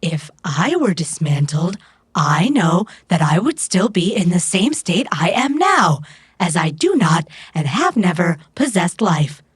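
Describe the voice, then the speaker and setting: A woman playing a stoic robot in a cyberpunk audio drama, speaking in formal, measured, literal phrases. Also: lowish voice, big pitch swings, husky, full character voice. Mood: solemn, detached.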